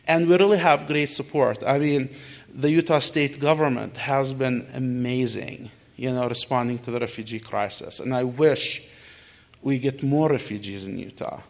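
Gravelly middle-aged man telling his story. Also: mid-range voice, normal speed. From Kuwait. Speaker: guest speaker answering audience questions. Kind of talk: conversational personal storytelling.

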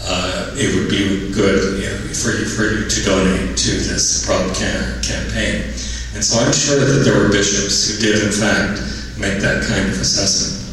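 A man on a discussion panel, explaining at length in a thoughtful way with frequent pauses. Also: fairly low pitch, steady tone, a bit bright, slight background noise. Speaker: panelist, former university faculty. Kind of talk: panel discussion after a film screening. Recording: echoey hall, mic far off.

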